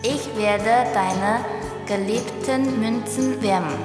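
A woman acts a girlish, adoring sweetheart, drawing her words out very slowly in a high voice. She coos dotingly as in a radio play, in an echoing hall with music behind. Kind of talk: theatrical radio play dialogue.

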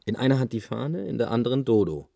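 An actor playing a playful, idealistic bohemian man in a radio play, with a husky voice and teasing, clipped phrases. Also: mid-range voice, lively intonation, fast talker, dark tone, no room sound. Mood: playful, warm. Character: Henry, bohemian male squatter friend, playful and idealistic. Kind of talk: German radio play dialogue.

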